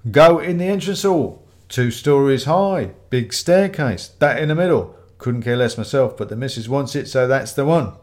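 A man voicing a loud, blustering builder in a story reading, brash, demanding and menacing. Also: on the high side, lively intonation, normal speed, pitched above the performer's own voice, close to an everyday voice. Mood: boastful. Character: loud, bullying male builder, boastful and menacing.

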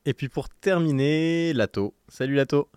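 A man's voice: on the high side, moderate pace, darkish tone, smooth, no room sound. A man hosting a podcast casually, with a hesitant, stop-and-start delivery. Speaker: podcast host.

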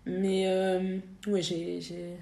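A woman's voice: on the low side, completely flat delivery, extremely slow, vocal fry, mellow. Young woman, lots of euh and restarts, echoey room. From Belgium. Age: young adult. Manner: hesitant, many fillers and restarts. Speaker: podcast guest. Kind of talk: casual interview.

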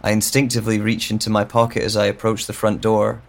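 A man's voice: fairly low pitch, level tone, fast talker, creaky. An actor playing a bewildered, lonely man with lost memory in a hesitant, introspective audio drama monologue. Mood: pensive, uneasy. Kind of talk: slow first-person audio drama monologue.

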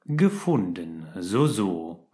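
A man voicing a stern, sneering male professor in a fan audio story, speaking slowly in a hushed, cold way. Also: mid-range voice, lively intonation, natural voice.

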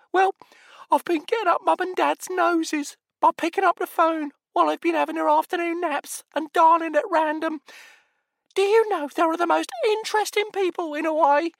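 A cheeky, truculent little boy, in a very high, piping voice with a lecturing, indignant manner. Dry and close. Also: fairly bright tone, no echo.

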